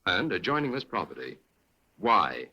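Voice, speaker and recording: An actor playing a calm, polite detective who asks probing questions in an old radio drama. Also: male voice, sits low, moderate pace, breathy edge, lo-fi sound, full character voice. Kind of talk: 1940s radio acting.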